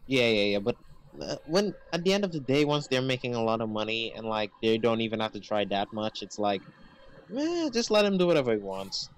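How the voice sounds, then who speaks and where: A man's voice: mid-range voice, sing-song, brisk. Young man chatting over a phone call. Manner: agreeable, filler-heavy, says "yeah" a lot. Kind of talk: casual chat.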